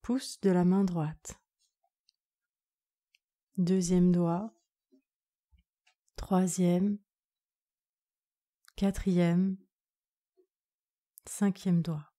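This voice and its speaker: A woman guides a relaxation meditation with a mellow, slightly low voice. She speaks very slowly in short, measured phrases. Her manner is calm and soothing, as a yoga nidra instructor.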